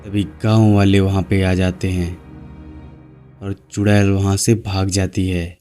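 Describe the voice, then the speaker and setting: A male narrator telling a horror cartoon story in an even, unhurried way. His voice is deep, dark and very monotone, delivered slowly over faint music.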